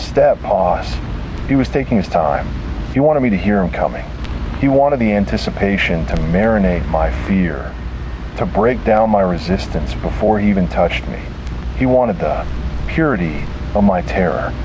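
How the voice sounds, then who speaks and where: A man with a low voice narrates a horror tale as a scripted read. His delivery is slow and deliberate, broken by dramatic pauses. A clear layer of noise sits under the recording.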